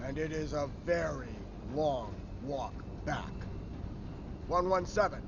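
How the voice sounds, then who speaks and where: An actor playing a stern, authoritative male drill instructor giving clipped orders to trainees in an animated series. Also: fairly high pitch, slow pace, noisy background. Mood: stern, measured. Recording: dull sound under loud music.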